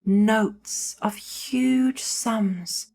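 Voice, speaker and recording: A woman narrating a guided meditation in a soothing, hushed manner, leaving long pauses between short phrases. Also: mid-range voice, creaky.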